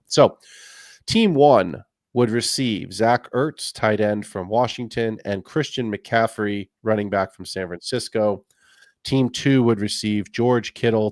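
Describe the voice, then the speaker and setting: Male podcast host, lower pitch, friendly rambling chat, dry close mic. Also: dry recording. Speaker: fantasy football podcast host. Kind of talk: casual podcast talk.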